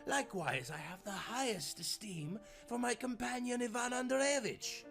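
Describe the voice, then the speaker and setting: A man's voice: very high voice, moderate pace, music in the background, voice pushed up. A man voicing a courteous, theatrical devil in a storybook tale, formal and politely menacing. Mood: formal, courtly. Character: suave, mock-courteous devil, doting grandfatherly fiend.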